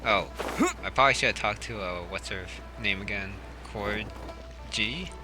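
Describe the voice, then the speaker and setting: A man with a fairly low voice gives relaxed, casual commentary while playing, thinking out loud as he goes. Game music plays clearly behind him. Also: moderate pace. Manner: relaxed, musing aloud. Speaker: gaming channel host.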